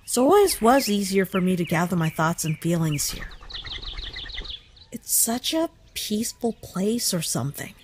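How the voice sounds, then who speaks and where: A woman voicing a kind, concerned human child in a comic dub, gentle, earnest and thoughtful. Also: medium pitch, very animated, normal speed, noisy background, lightly acted. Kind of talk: scripted comic dub acting.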